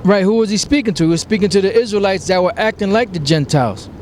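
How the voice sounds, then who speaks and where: A man preaching outdoors, slowly reading scripture verses aloud and then explaining them. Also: high-pitched, darkish tone, slight background noise.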